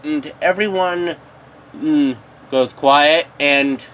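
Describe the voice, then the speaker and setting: A man's voice: on the high side, very slow, a bit bright, a little hiss or hum. A man narrating improvised fan fiction, stumbling and repeating words as he goes. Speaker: storyteller.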